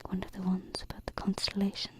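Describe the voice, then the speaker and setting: A woman playing a gentle, affectionate alien princess in an ASMR roleplay, whispering softly and tenderly into a close binaural mic with unhurried pauses. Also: medium pitch, completely flat delivery, lightly acted. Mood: tender, encouraging. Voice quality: soft, breathy whisper. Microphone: close binaural microphone.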